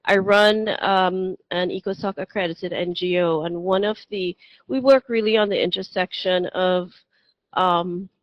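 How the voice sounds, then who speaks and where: A woman from Trinidad and Tobago poses a question from the audience at a seminar, earnest and pausing with ums as she searches for words. Her voice sits a little low. The recording is dry and slightly dull. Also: no room sound. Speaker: audience member asking a question.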